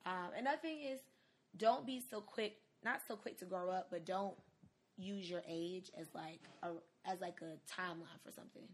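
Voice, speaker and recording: A woman's voice: fairly low pitch, normal speed. A woman in her twenties giving casual, conversational advice in a candid, emphatic way, as a podcast host. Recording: noticeable background noise.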